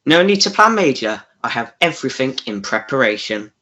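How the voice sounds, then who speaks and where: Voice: medium pitch, sing-song, brisk, bright, forceful tone. An actor playing an oily, pushy male villain wooing in a melodramatic radio play, smarmy and domineering. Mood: dismissive, pushy. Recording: dull lo-fi recording.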